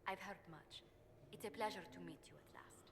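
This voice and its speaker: An actress playing a courteous diplomat in a fantasy game, with a polite, measured and articulate delivery. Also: female voice, lowish voice, creaky, in a large hall, background noise. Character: poised, courteous fantasy diplomat and ambassador woman. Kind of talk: dramatic fantasy game dialogue.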